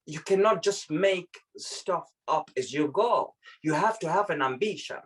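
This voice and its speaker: A man's voice: a little high, expressive, measured pace, a bit bright. A man originally from Zimbabwe speaking reflectively in a video-call discussion, often repeating words as he searches for them. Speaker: panel participant.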